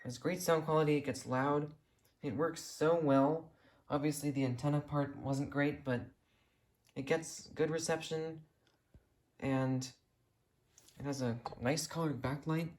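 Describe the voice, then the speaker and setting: Excited, rambling American radio hobbyist. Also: mid-range voice, unhurried, pauses often, slight background noise. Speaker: video host showing a radio collection. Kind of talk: casual enthusiastic collection tour.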